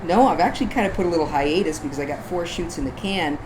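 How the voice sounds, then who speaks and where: A man's voice: fairly high pitch, fast. A man talking casually as an interview guest, telling informal stories and voicing the quotes in them. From Canada. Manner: informal anecdotes with acted-out quotes. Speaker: podcast guest, photographer. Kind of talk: casual chat. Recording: faint background noise.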